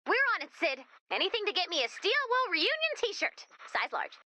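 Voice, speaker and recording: Excitable female robot, very high bright voice, lively swoops, gushing, quippy, theatrical game dialogue. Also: brisk, no room sound, clean studio sound, exaggerated voice. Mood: excited. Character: perky, excitable robot woman, nerdy music superfan. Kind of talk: video game character dialogue.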